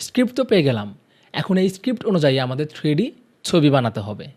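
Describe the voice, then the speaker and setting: A man's voice: a little high, big pitch swings, rapid-fire, darkish tone. A man presenting an instructional tutorial, guiding viewers directly step by step.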